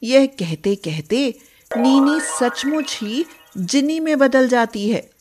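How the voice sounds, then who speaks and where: A woman's voice: high voice, animated, slow pace, over music. A woman narrating a scripted children's moral story as a storyteller.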